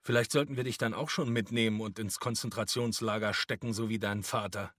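A man voicing a menacing stormtrooper leader in an audiobook, mocking and officious in a forceful way. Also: on the low side, steady tone, a little fast, no echo, studio quality. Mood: threatening. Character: sneering, menacing Nazi stormtrooper squad leader, adult man. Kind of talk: audiobook character voice.

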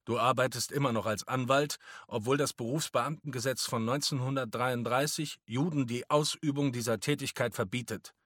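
A man acts out a menacing squad leader of brownshirts for an audiobook. He is officious and mocking, pushing his words out forcefully in a husky, dry-sounding male voice. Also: medium pitch, steady tone, normal speed, hardly any pauses, no echo, close to an everyday voice. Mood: officious, accusing. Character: sneering, menacing Nazi stormtrooper squad leader, adult man. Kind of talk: audiobook character voice.

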